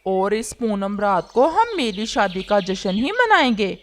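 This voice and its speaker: Woman as a whiny, lively male cartoon crow. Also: medium pitch, a little slow, background noise. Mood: pleading, scheming. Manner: pleading, complaining. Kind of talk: children's cartoon voice acting.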